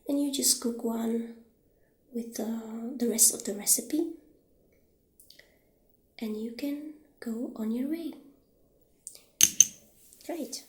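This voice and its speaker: Woman as friendly witch shopkeeper for ASMR roleplay: soft, breathy, unhurried, slightly high, roomy sound. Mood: softly admiring. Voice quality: soft, breathy, half-whispered. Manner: gentle, unhurried, hesitant pauses. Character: friendly witch shopkeeper showing off potion ingredients.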